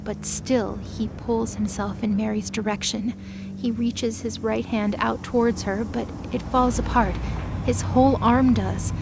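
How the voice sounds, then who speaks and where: A woman's voice: fairly high pitch, gravelly, background noise. A woman giving dramatic scripted narration as the narrator of an audio drama.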